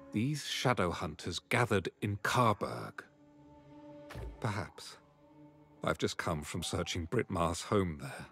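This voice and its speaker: A man's voice: fairly low pitch, fairly bright tone, exaggerated voice. An actor playing a solemn, scholarly male knight in a fantasy game, speaking formally with archaic phrasing. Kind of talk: scripted fantasy game dialogue.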